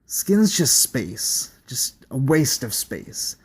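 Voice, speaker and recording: A man voicing an unsettled male test subject who talks slowly and quietly in a dreamy, rambling way. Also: mid-range voice, very animated, slightly rough, exaggerated voice.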